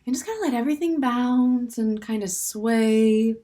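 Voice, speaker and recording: A woman leading a guided standing meditation in a gentle, unhurried way, with soft, breathy phrase endings and plenty of pauses. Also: fairly high pitch. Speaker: meditation teacher.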